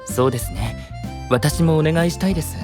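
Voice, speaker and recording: An actor playing a composed, faintly playful gentlemanly knight with formal, refined manners in an anime audio drama. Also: male voice, fairly high pitch, lively intonation, a little fast, music in the background, close to an everyday voice.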